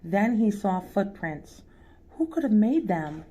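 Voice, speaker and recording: A woman's voice: medium pitch, lively intonation, warm tone, muffled recording. A woman reading a children's picture book aloud as a storytime reader, addressing young listeners directly. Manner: pauses to ask young listeners questions.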